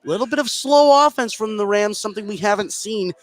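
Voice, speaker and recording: A man's voice: high voice, fast. A man doing live radio play-by-play of a basketball game, following the action continuously and lifting his voice on big plays. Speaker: play-by-play sports commentator. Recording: noisy live gym broadcast.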